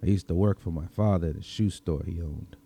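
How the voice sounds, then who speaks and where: Man playing a very dark-voiced, deep Brooklyn vampire. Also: a little fast, creaky, slightly rough, full character voice. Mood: reminiscing. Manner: streetwise, conversational, brooding. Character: world-weary vigilante vampire from Brooklyn, looks twenty-six. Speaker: story reader. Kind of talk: first-person scripted story narration.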